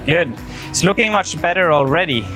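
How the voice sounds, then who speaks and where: Male coach, fairly high voice, calm, encouraging, step-by-step explanations, faint music behind. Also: moderate pace, rough recording. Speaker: handstand coach. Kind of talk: casual coaching session.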